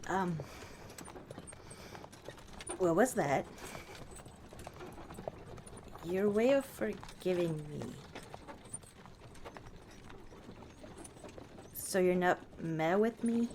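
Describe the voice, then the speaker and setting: A woman voicing a proud but softening battle-scarred orc warrior wife in an intimate ASMR roleplay, speaking tenderly and haltingly. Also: on the low side, slight background noise. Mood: surprised, tender. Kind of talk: ASMR roleplay, intimate one-sided dialogue. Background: outdoor ambience, horse hooves.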